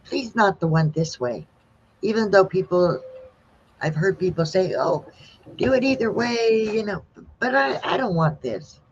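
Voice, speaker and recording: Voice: medium pitch, animated, measured pace, husky. A host asking rambling questions in a casual chat. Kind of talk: casual conversation. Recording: dull lo-fi audio with faint noise.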